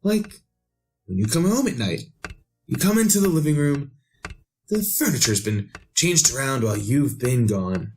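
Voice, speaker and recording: Man playing obsessed bewildered man, radio drama, swooping pitch, melodramatic, music underneath. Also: big pitch swings, darkish tone, lightly acted. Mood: haunted, uneasy. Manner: halting, melodramatic delivery. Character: obsessed, bewildered man craving knowledge of the future. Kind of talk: retro 1930s radio drama acting.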